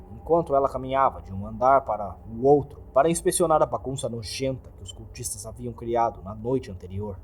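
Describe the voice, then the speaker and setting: A man with a Brazilian accent reads a scripted horror story in a dramatic, expressive storytelling manner. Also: mid-range voice, slight background noise. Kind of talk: scripted dramatic creepypasta narration.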